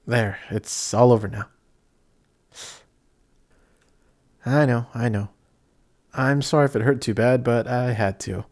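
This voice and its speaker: A young man playing a kindhearted apprentice sorcerer in an audio roleplay, chatty, excitable and easily flustered, with some stammering. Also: medium pitch, creaky. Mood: caring, reassuring. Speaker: voice actor. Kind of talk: amateur audio roleplay acting addressed to the listener.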